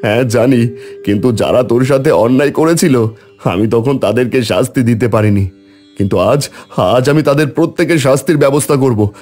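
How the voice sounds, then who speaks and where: A man voicing a devoted, grieving village brother in an animated folk tale, earnest, emotional and halting. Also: medium pitch, soft background music, close to an everyday voice. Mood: remorseful, determined.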